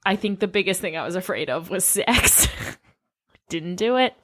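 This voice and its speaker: A woman co-hosting a podcast in casual chat, expressive and sometimes dropping to a whisper. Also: on the low side, normal speed, noisy background. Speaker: podcast co-host.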